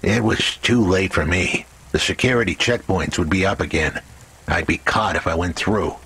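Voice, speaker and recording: An actor playing a grim, stubborn, fatalistic investigator in a video game. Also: male voice, sits low, moderate pace, fairly bright tone, breathy edge, full character voice. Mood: resigned, determined.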